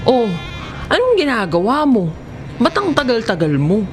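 A woman's voice: on the high side, very animated, moderate pace, over music, pitched above the performer's own voice. A woman with a Filipino accent voicing a casual, obliging young boyfriend in a comedic audio story. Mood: puzzled, impatient.

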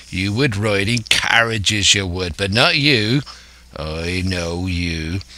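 A man's voice: on the low side, sharp tone, dry recording, heavily acted. A man voicing a scheming, domineering older pirate ringleader who speaks emphatically and scornfully in a salty seafaring dialect. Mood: exasperated.

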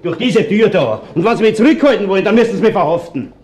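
An actor with an Austrian accent playing a pompous, defensive widower under suspicion in a radio crime drama. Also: male voice, high voice, expressive, fast, a little mellow. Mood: indignant. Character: haughty, indignant widowed male citizen under suspicion.

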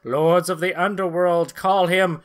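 A man's voice: high-pitched, fairly even intonation, measured pace. A man playing an earnest, dramatic narrator of a pulp comic-book adventure. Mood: grave, dramatic.

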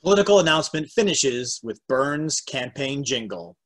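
A man's voice: medium pitch, very animated, normal speed. A man reading stage directions as the narrator of an amateur script table read. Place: indoors on a home video call.

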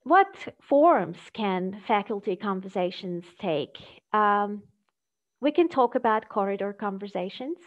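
A woman giving an academic presentation over a video call, speaking in a measured, hesitant way with halting phrasing. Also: medium pitch, expressive. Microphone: video call microphone. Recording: dull, lo-fi video call audio.

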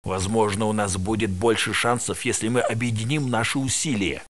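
An actor voicing a tired male security guard in a research facility in a video game dub, with a gravelly, matter-of-fact delivery. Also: lowish voice, normal speed, lightly acted. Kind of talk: game voice lines, Russian dub.

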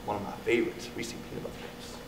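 A middle-aged man reading a children's storybook aloud in an expressive, deliberate manner. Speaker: elementary school staff member reading to children. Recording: echoey, distant mic with background noise.

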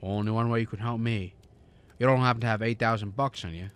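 A man's voice: fairly low pitch, fairly even intonation, fast, smooth, lightly acted. A man playing a despairing, skeptical everyman in a radio drama, wry and stammering. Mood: bitter, sardonic. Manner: stammering, sardonic, incredulous.

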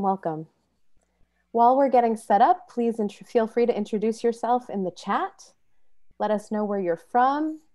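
Woman, webinar host, mellow warm tone, welcoming, chatty, fillers. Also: mid-range voice. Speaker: webinar host, marketing manager. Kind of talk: hosting a webinar.

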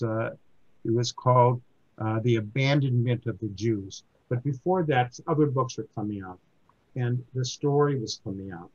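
Older man in his seventies, halting community talk, many restarts, dull lo-fi sound. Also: mid-range voice. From born in Germany, raised in the United States. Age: 70s. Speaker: book reviewer giving a talk. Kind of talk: informal lecture to a community group.

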